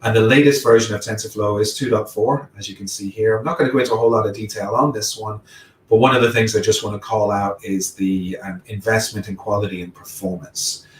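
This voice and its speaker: A man's voice: on the low side, steady tone, a little fast, reverberant, recorded from a distance, low-quality recording. A man with a mixed Irish, Welsh, English and American accent, giving a technical talk as guest speaker and explaining ideas step by step. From Ireland, Wales, England and the United States. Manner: explanatory, walking through examples step by step.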